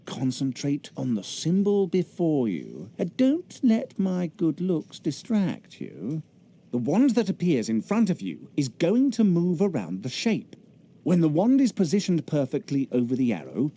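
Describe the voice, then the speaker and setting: A man's voice: fairly high pitch, huge pitch swings, a little slow, dark tone, full character voice. An actor playing a vain, pompous male wizard professor giving instructions in a video game tutorial. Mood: self-satisfied, instructing.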